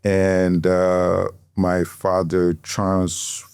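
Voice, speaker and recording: A middle-aged man from Senegal, a guest telling his story in an interview, unhurried and halting with many fillers. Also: deep voice, completely flat delivery, darkish tone, clean tone, no echo. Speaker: guest, tailor. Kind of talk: interview conversation.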